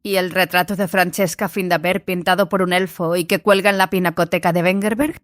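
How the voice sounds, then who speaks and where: An actress playing an earnest, argumentative companion to a scholar in an audiobook drama, speaking emphatically and eloquently. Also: female voice, lowish voice, fairly even intonation, brisk, no echo, lightly acted. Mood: questioning. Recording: music behind the voice.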